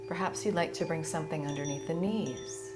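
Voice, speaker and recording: A woman teaching yoga nidra, gently and soothingly leading a guided meditation in a live online session. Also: low-pitched, over loud music. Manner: soothing, gentle, unhurried invitations. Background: singing bowls and chimes.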